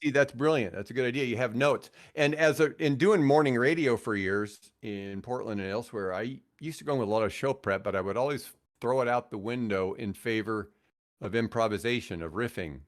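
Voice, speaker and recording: A man's voice: medium pitch, brisk. American man in his sixties, chatting casually. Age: 60s. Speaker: podcast guest, radio host. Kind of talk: casual chat, rambling storytelling.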